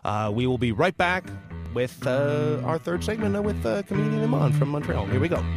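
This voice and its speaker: A man's voice: a little high, lively intonation, moderate pace, loud music behind the voice. A man hosting a casual radio talk show in a studio, rambling with many fillers and restarts.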